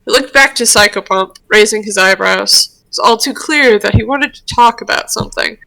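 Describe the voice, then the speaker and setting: Woman, bright crisp tone, mid pitch, informal story narration, amateur roleplay reader. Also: moderate pace, poor audio.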